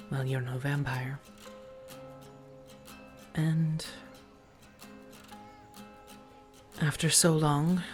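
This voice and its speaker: A woman playing a protective, worldly vampire roommate in a soft-spoken ASMR roleplay, speaking in measured, halting phrases that sometimes drop toward a whisper. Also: sits low. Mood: grave, confiding. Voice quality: soft, breathy whisper at times. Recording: music under the voice.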